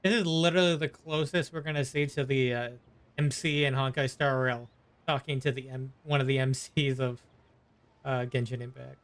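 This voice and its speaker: A man's voice: medium pitch, steady tone, normal speed, clean tone. A man giving casual playthrough commentary on a video game, in dry, offhand asides. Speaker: gaming video creator.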